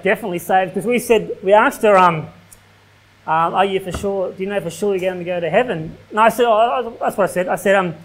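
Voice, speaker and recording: High-voiced Australian man preaching. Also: rough recording. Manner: preaching at a pulpit, reading King James verses aloud, casual fillers like "like". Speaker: pastor. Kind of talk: sermon with scripture reading. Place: small church hall, indoors.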